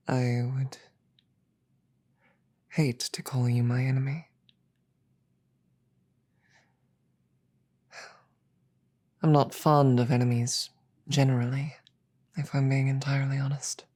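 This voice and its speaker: A woman voicing a regal, calm demon queen in a roleplay audio drama, speaking in a slow, deliberate, breathy murmur with measured pauses and quiet menace. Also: very deep voice, heavily acted. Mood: calm, menacing.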